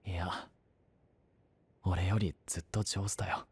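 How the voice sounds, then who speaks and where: A man's voice: low voice, flat delivery, unhurried, breathy edge, no room sound, exaggerated voice. A man voice-acting an earnest, awkward young knight in an audio drama, blunt and casual, often muttering to himself. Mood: gentle. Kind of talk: Japanese anime-style voice drama acting.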